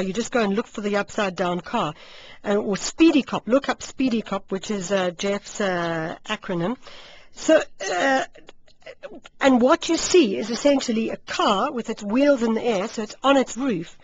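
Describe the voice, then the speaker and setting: A woman hosting a live radio interview in a chatty, animated, exclaiming manner. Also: on the high side, moderate pace. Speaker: radio host interviewing a guest. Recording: muffled radio broadcast.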